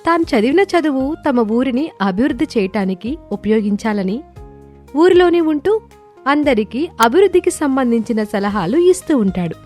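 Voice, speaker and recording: A woman narrating a scripted moral story in a clear storytelling delivery. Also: a little high, a little mellow. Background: background music.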